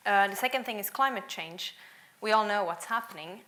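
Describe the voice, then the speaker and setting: Bright-voiced young woman speaking. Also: mid-range voice, fairly quick. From Finland. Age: young adult. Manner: earnest, doubles words when starting sentences. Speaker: panel speaker. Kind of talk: panel talk. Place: event hall with audience.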